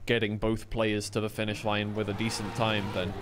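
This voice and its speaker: A man's voice: lowish voice, monotone, moderate pace. Male esports commentator over noisy game audio. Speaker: esports commentator streaming a tournament. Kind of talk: live play-by-play commentary, casual and unscripted.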